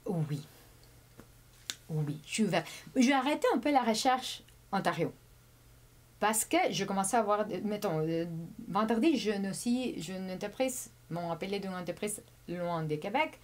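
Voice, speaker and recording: A woman whose first language is Spanish, a guest chatting casually in an interview, often pausing, repeating words and laughing. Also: mid-range voice, lively intonation. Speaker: immigrant guest.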